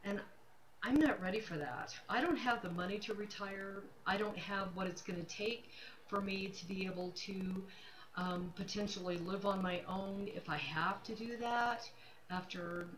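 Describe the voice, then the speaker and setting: A woman's voice: medium pitch, normal speed, a little hiss or hum, rough recording. A woman of fifty-nine talking casually and candidly to her livestream audience, repeating phrases for emphasis. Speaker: livestream host, self-employed realtor. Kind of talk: casual personal talk to camera.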